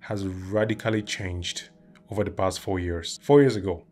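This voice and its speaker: A man in his thirties from Cameroon, giving an earnest, measured self-help talk to camera and listing his points one by one. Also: deep voice, steady tone, a little mellow. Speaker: vlogger.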